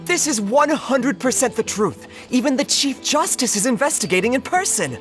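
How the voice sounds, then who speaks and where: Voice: sits high, lively intonation, moderate pace, a bit bright, faint music behind. An actor playing an eager, gossiping townsperson in a video game. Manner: eager, rallying.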